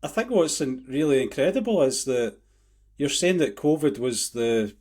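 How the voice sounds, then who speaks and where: A man's voice: mid-range voice, lively intonation, normal speed, a little mellow. A man hosting a casual interview, chatting in an enthusiastic, appreciative way. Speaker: podcast host.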